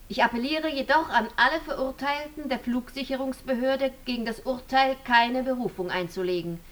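An actress playing a sober presiding judge in a German radio drama, formal and deliberate. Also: female voice, on the high side, fairly bright tone, lightly acted.

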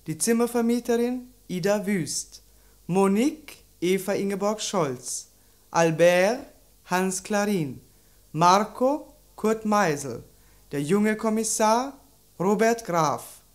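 Old-time radio announcer lady reading out credits formally, mid-range voice. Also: medium pitch.

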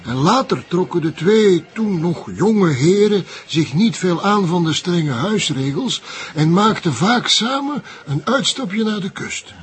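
A man playing a cautious old retired journalist in a TV crime drama, with a Flemish accent and a measured, wry way of talking. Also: high-pitched, animated, husky, heavily acted. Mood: discreet, gossiping. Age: older.

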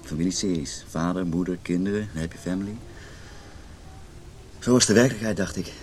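A man's voice: sits low, fairly quick, a little mellow, close to an everyday voice. An actor in a Dutch radio drama playing a brooding, wounded, intense man in a failing marriage. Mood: bitter, reflective. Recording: noisy radio play recording.

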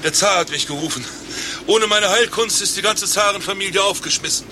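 An actor playing a self-important, deluded doctor in a satirical radio play, melodramatic and urgent. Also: male voice, high-pitched, fairly quick, background noise, natural voice. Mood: delusional, grand. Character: deluded, self-important male doctor freezing in an imaginary blizzard.

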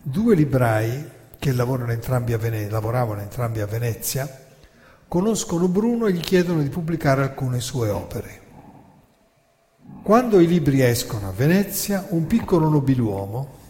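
A man narrates a dramatic monologue with a soft, dark-toned voice. His delivery is measured and declamatory, like stage storytelling. Long hall reverb trails behind his words. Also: mid-range voice, lively intonation. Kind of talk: theatrical scripted narration with dramatic recited quotations.

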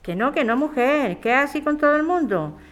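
Una mujer que interpreta a una madre de mediana edad, atenta y preocupada, con tono conversacional en una radionovela. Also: female voice, high voice, expressive, a little fast. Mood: concerned, persuasive. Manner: concerned, conversational. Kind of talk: radio drama acting.

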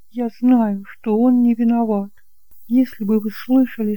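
Female actor as a worried, devoted aunt; slow, pleading, very mellow, close dry sound, homemade radio play. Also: on the high side, dry recording, close to an everyday voice, poor audio. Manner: earnest, pleading. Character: anxious, devoted aunt pleading for an accused doctor. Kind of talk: amateur audio drama acting.

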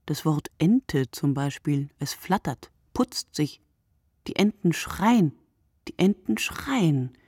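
Tired sleepless woman, low husky hushed voice, measured literary radio play monologue, super dry sound. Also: expressive, dry recording, heavily acted. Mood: tired, musing. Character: weary, sleepless woman narrating her night-watch thoughts.

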